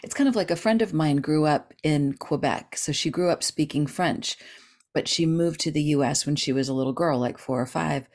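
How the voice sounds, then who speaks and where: A middle-aged woman with a low voice speaks in a relaxed, chatty way, explaining ideas with lively energy. She talks over a video call, warm and enthusiastic in delivery. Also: moderate pace. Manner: animated, gestures with hands while talking. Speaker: dream expert guest. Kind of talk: casual interview chat.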